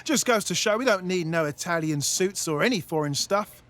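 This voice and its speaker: A smug working-class patriot, acted by a man in a satirical audio play. He is colloquial and breezily dismissive, with a high, bouncy voice. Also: animated, moderate pace, fairly bright tone. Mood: smugly cheerful.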